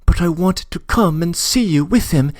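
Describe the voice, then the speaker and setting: A man's voice: fairly high pitch, animated, moderate pace, a little mellow, no room sound, clean studio sound, voice pushed up, lightly acted. A man reading aloud, voicing a young woman's tearful, loving letter with an imploring manner. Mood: tender, earnest. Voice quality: emotional, imploring. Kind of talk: audiobook reading.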